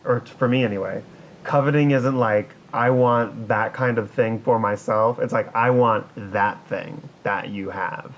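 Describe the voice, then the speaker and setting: A man's voice: fairly even intonation, a little slow, highs cut off. A man co-hosting a casual comedic podcast, thinking aloud in a rambling, wry way. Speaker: podcast co-host. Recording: noisy recording with low rumble.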